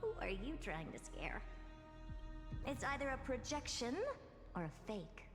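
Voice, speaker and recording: A woman's voice: fairly high pitch, very animated, measured pace. A woman voice-acting a haughty, imperious, self-assured genius explorer in a video game, with theatrical, condescending delivery. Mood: impatient, disdainful. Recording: long reverb, game music behind.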